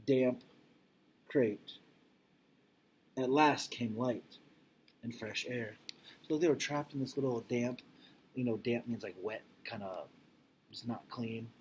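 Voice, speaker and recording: A man reading a picture book aloud to children like a teacher, expressive and deliberate, with informal explanatory asides. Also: mid-range voice, a little hiss or hum, low-quality recording.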